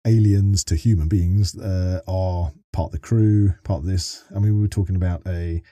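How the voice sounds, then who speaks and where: Very bright-voiced man hosting a podcast. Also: low-pitched, fairly even intonation, normal speed, dry recording. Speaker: podcast co-host and interviewer. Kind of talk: casual podcast interview and chat.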